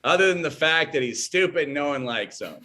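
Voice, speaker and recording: A man's voice: fairly high pitch, expressive, fairly quick, sharp tone. A man playing a smug, childish, boastful corporate villain from a video game with a mocking manner. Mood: smug mockery. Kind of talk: re-enacting video game lines.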